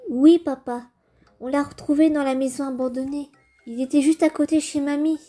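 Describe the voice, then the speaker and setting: A woman's voice: sits high, steady tone, moderate pace, warm tone, soft background music, lightly acted. A woman voicing an earnest young boy presenting a puppet show in a French comic dub, with storybook-like delivery. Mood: proud, excited.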